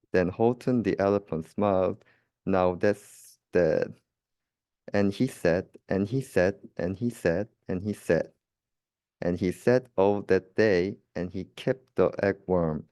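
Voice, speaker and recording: A young man reading a children's rhyming story aloud in a careful, slightly halting manner. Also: deep voice, dark tone, no echo, clean studio sound. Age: young adult. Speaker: student reader.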